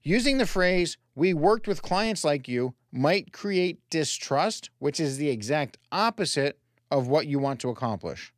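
A male sales coach narrates a scripted training lesson in a fairly high voice. His intonation moves up and down a lot as he speaks straight to the listener with emphasis. The sound is close and dry, like a studio voice-over. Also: lively intonation, a little slow, no room sound. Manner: emphatic, direct address with rhetorical questions. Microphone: close voice-over microphone.

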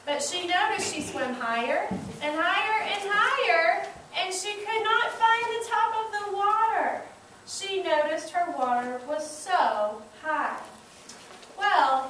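A woman narrating a children's story in a deliberate way, repeating words for emphasis. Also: very high voice, fairly bright tone. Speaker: narrator of a school puppet show, prompting child puppeteers. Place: classroom, recorded from the audience side. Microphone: distant camera microphone. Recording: echoey room, far mic, noisy.

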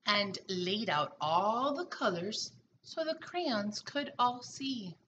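A middle-aged woman, a preschool teacher, reading a picture book aloud expressively and deliberately to young children. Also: mid-range voice, lively intonation, a bit bright, lo-fi sound, slight background noise. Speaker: pre-K teacher. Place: small room at home, indoors.

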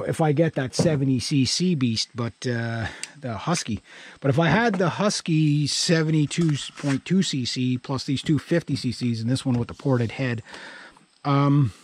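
Higher-voiced Canadian man, rambling casually. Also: moderate pace, husky. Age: middle-aged. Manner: rambling, thinking aloud. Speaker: vlogger. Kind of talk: casual vlog chat. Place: outdoors in a grassy yard by a pickup truck. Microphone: action camera worn on body or held at arm's length.

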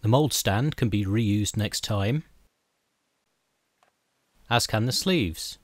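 A middle-aged man calmly narrating a scripted woodworking how-to, step by step, as the channel's host. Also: fairly low pitch, slow, no echo. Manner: calm, methodical step-by-step explanation.

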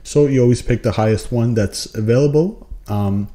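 A man's voice: mid-range voice, moderate pace, warm tone. A man narrating a tutorial in a casual, explanatory way. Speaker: tutorial host.

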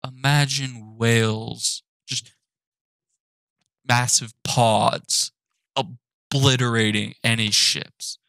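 A young man ranting profanely and with exasperation from his apartment, now and then breaking into shouts. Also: medium pitch, steady tone, drawn-out words, creaky, long pauses, no room sound. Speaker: animated channel host. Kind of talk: ranting commentary.

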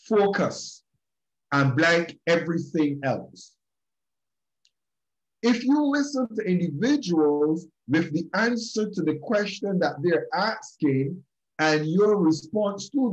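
Man, Barbadian accent, high-pitched, emphatic, repeats phrases, video call audio, muffled lo-fi sound. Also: animated, takes it slowly. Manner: emphatic, repeats phrases, addresses listeners directly. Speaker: group session speaker. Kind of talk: preachy motivational talk.

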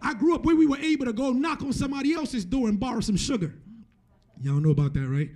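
A man's voice: very high voice, animated, quick pace, darkish tone. A man in his thirties from Jamaica preaching a conversational sermon into a handheld mic, repeating phrases for emphasis. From Jamaica, moved to the US at eleven. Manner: repeats and restarts phrases for emphasis, often tags points with "right?". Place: church stage, indoors. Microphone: handheld microphone held close.

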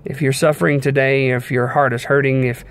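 A young man giving an informal devotional sermon in an earnest, measured way, pausing between short phrases. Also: medium pitch, flat delivery. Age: young adult. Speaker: preacher giving a devotional message. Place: inside a car. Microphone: phone at arm's length. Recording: clear background noise inside a car.

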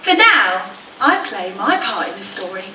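A woman's voice: medium pitch, very animated, normal speed, sharp tone, a little hiss or hum. A woman playing a wry, reassuring pantomime fairy who narrates in theatrical rhyme. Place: large hall stage, indoors. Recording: echoey stage hall from far off.

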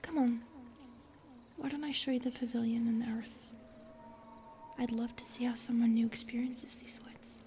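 A woman voicing a gentle, lonely, eerie forest spirit in a breathy, whispered ASMR roleplay, slow and wistful. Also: a little high, steady tone, a little hiss or hum, natural voice. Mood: eerily gentle.

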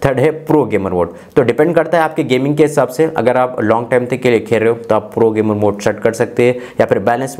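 A man's voice: medium pitch, a little mellow. A man in his twenties with an Indian accent, presenting a tech walkthrough step by step and mixing in English technical terms. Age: 20s. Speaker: tech reviewer and channel host. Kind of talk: scripted-sounding phone feature walkthrough.

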